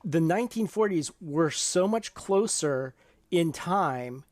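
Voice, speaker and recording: Dry, higher-voiced middle-aged man hosting. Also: lively intonation, slow, no room sound. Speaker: podcast host. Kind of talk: casual podcast conversation.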